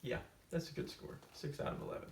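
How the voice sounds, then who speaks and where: A man hosting a comedic storytime video, casually summing up a book to camera with tongue-in-cheek, deadpan asides. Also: fast, recorded from a distance. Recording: roomy echo, off-mic, faint hiss.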